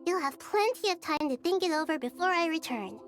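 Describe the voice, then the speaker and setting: Small wise goddess, high childlike voice, composed, measured, gently playful, game character, music behind. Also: female voice, animated. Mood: warm, playful. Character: gentle wise childlike female deity and ruler. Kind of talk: video game voice acting.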